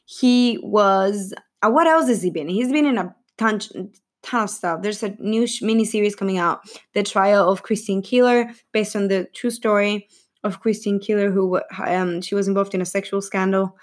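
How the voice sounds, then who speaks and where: Young woman, casual vlog chat, rambling, giggly, full of fillers, mid-pitched voice. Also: normal speed. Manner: rambling, chatty, with many fillers and self-corrections; giggly, self-deprecating. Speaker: film vlogger. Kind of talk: casual chat about a film's cast.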